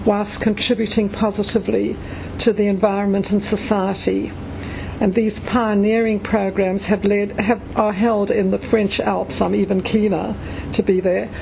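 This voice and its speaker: A woman with a gravelly edge to her voice introduces a conference speaker with eager enthusiasm and frequent hesitant ums. Her voice sits at a medium pitch, with a faint noise in the background. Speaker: event host introducing a speaker. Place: conference room.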